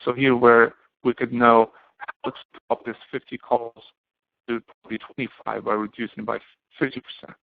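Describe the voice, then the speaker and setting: A man giving an explanatory lecture to students as an instructor, speaking haltingly and often posing questions that he then answers himself. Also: medium pitch, level tone, vocal fry, no echo. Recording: muffled, band-limited, phone-like audio.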